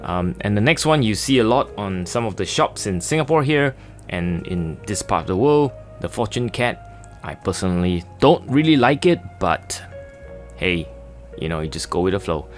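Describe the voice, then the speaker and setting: Relaxed Malaysian man, low voice, halting. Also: soft background music. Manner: casual, chatty, many filler words and pauses. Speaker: sketching and watercolor instructor. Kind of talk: relaxed tutorial narration.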